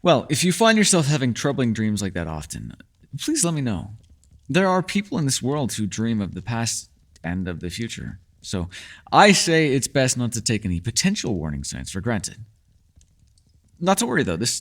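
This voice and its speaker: A man's voice: medium pitch, huge pitch swings, normal speed. A man playing a mysterious, ageless male elf in a fantasy ASMR roleplay, teasing yet secretive, with courteous, formal, old-fashioned phrasing. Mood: thoughtful, reassuring.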